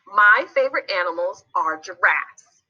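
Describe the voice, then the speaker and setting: A woman's voice: medium pitch, animated, unhurried, bright, forceful tone, breathy edge, lo-fi sound, heavily acted. A woman voicing a friendly, helpful young girl in a children's storybook, patient and encouraging. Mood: friendly, chatty.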